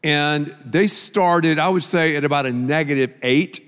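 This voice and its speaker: A man's voice: a little high, a little slow, a bit bright, clear, resonant tone. An older man, a pastor, telling a story in a stage sermon dialogue on a large church stage with a handheld mic. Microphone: handheld microphone. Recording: long hall reverb.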